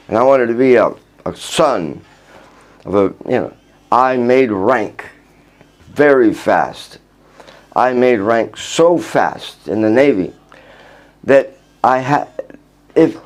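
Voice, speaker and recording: An older man recalls his past in a loose, rambling monologue. He talks in a halting way, restarts his sentences and chuckles now and then. His pitch sits in an ordinary middle range. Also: a little mellow. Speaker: vlogger recounting his life. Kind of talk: rambling personal storytelling. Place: outdoors in Vrindavan.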